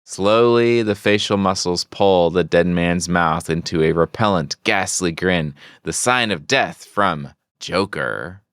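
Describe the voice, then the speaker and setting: A man hosting a podcast, retelling a comic book story in a theatrical, measured storytelling manner. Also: deep voice, fairly bright tone, clear, resonant tone, dry recording, clean studio sound. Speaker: podcast host.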